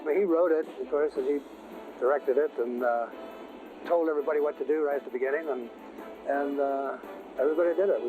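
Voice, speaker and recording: A man from San Francisco telling an interview story in a relaxed, rambling way with many pauses. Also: on the high side. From San Francisco, USA. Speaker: interviewee, actor. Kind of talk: casual recollection in an interview. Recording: tinny phone-like sound with music.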